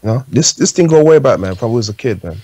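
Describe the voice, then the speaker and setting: A man's voice: medium pitch, lively intonation, racing, warm tone, a little hiss or hum. A man with a Jamaican accent talking casually and anecdotally as a guest in a radio discussion. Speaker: talk show guest.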